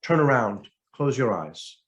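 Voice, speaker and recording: A man reading the part of a cold, imperious, scheming queen in a story, commanding and deliberate. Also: mid-range voice, sing-song, muffled recording. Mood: cool, nonchalant.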